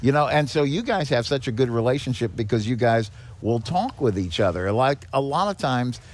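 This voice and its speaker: A man's voice: mid-range voice, lively intonation, normal speed. An older man talking conversationally as a podcast guest, explaining with anecdotes in a folksy, emphatic way and repeating key points for weight. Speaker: podcast guest, head of a seniors' nonprofit. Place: on location at a garage.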